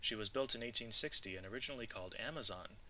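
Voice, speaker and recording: Very bright, forceful man reading aloud. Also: lowish voice, quick pace, rough recording. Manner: expressive, clearly emphasised reading. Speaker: read-aloud narrator. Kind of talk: educational storybook narration with vocabulary asides.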